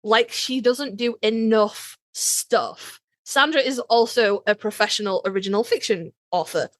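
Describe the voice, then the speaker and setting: A woman's voice: fairly high pitch, measured pace, no echo. A woman co-hosting a podcast in casual chat, speaking in an emphatic, informal and playfully blunt way. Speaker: podcast co-host.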